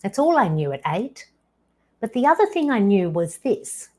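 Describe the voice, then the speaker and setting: A woman in her fifties hosting her own show, telling a personal story slowly and deliberately, with dramatic pauses. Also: mid-range voice, very animated, darkish tone. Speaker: podcast host.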